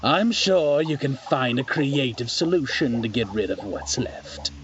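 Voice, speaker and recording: A scheming dark lord acted by a man from a fantasy audio play. He speaks slowly and smugly, giving orders with deliberate authority, on a slightly dull recording. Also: medium pitch, lively intonation. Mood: smug, commanding. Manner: smug, deliberate, commanding. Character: scheming male villain lord, smug and commanding. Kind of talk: fantasy audio drama acting.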